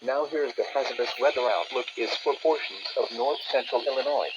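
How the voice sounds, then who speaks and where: A man's voice: mid-range voice, fairly quick. A synthetic male text-to-speech voice reading scripted weather and alert bulletins as an automated announcer over a radio. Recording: muffled, noisy weather radio playback.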